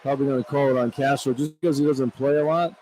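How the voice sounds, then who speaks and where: A man's voice: medium pitch, steady tone, quick pace, mellow, poor audio. An American man giving informal, conversational color commentary on a radio basketball broadcast. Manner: informal, colloquial. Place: courtside in a large indoor arena. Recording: radio broadcast with clear arena noise. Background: arena noise.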